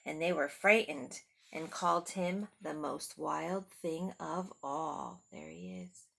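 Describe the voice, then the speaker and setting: Female children's storyteller, unhurried, with a creaky edge, simple child-directed asides. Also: low-pitched, animated, a bit bright. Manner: simple, child-directed talk with rhetorical questions. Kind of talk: read-aloud picture book with asides to young children.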